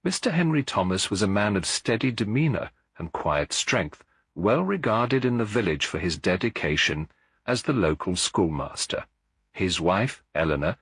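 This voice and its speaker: A man narrating a detective story as a scripted audiobook, in a measured, formal storytelling manner with a husky voice. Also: lowish voice, fairly bright tone, no room sound.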